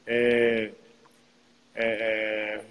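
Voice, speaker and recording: A man's voice: on the low side, monotone, drawn-out words, a bit bright. A man with an American accent, a native English speaker, chatting casually in a slangy way full of repeated tags. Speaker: podcast interviewer, basketball player. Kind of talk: casual chat. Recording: long reverb, roomy sound.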